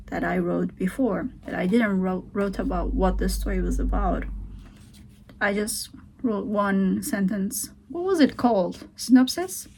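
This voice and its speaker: A young Turkish-accented woman talks slowly, pausing as she thinks things over, her voice carrying a gravelly fry. She muses in a hesitant, unhurried way and now and then drops into soft whispered asides, like someone recording at home on the floor. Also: medium pitch, poor audio. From Turkey. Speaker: vlogger. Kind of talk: casual thinking-aloud vlog. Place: at home, sitting on the floor beside a heater.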